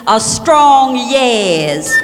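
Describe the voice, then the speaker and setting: A woman reciting spoken-word poetry in a deliberate, declamatory way on an archival album recording. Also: a little high, sing-song. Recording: archival album with long reverb. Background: loud music.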